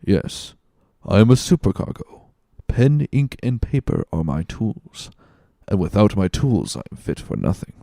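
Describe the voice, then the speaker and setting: A man's voice: fairly low pitch, big pitch swings, a little slow, gravelly, mellow, no echo, studio quality, exaggerated voice. A man voicing a scheming, manipulative ship's supercargo, calculating and glib, in a dramatic reading of a novel. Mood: smug.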